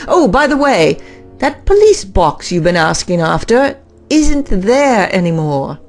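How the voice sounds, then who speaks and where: A woman's voice: medium pitch, very animated, unhurried, soft background music. A woman playing an imperious, impatient boss with a commanding, clipped manner in an audio drama. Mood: irritated.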